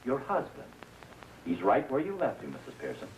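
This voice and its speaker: Dry 1950s police lieutenant, noisy soundtrack. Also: male voice, mid-range voice, wildly swooping pitch. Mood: firm, confronting. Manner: measured, dry, probing questioning. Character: calm, probing male police lieutenant, drily sardonic. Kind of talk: 1950s television crime drama acting.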